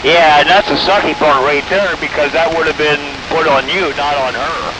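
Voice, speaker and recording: A man's voice: on the high side, steady tone, normal speed, over a noisy crowd. A man of fifty with an American accent, chatting in a casual, rambling way over CB radio. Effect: CB radio transmission. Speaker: CB radio operator, military veteran. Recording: noisy CB radio reception. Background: clear radio static and noise.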